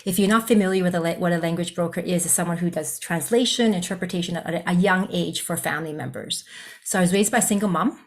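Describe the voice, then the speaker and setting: Earnest lower-voiced woman on a video call. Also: fast. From Vietnam. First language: Cantonese. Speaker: psychologist and counselor educator. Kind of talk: virtual presentation, personal story.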